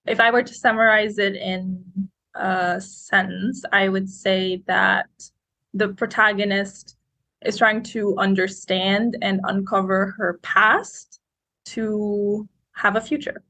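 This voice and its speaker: A woman in her twenties, raised in Egypt, answering interview questions in a talkative, outgoing, expressive way. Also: medium pitch, takes it slowly. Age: 20s. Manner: expressive, quotes people in lively voices. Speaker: guest.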